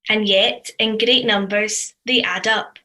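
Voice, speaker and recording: A woman's voice: medium pitch, steady tone, slow, pushed and bright, low-quality recording. A woman reading a scripted devotional reflection as one of a church's readers.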